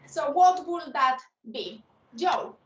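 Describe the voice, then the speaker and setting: A woman chairs a video panel with a high voice and a hesitant, filler-heavy manner. She sits away from her mic, and a roomy echo surrounds her. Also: lively intonation, takes it slowly, distant mic, dull audio, noisy background. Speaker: session chair. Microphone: video call.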